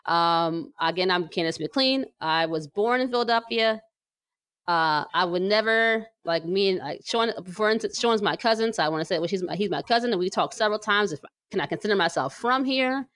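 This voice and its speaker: A woman's voice: medium pitch, normal speed, fairly bright tone, smooth, dry recording. An American woman from New Jersey co-hosting a casual, chatty podcast, speaking with emphatic repetition. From New Jersey, United States. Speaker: podcast co-host.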